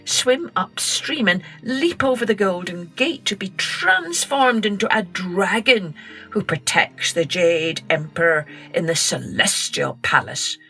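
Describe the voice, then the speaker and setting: A woman voicing an aggrieved male duck who speaks loudly and emphatically. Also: fairly low pitch, lively intonation, measured pace, soft background music, full character voice. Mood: eager. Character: indignant male duck, middle brother.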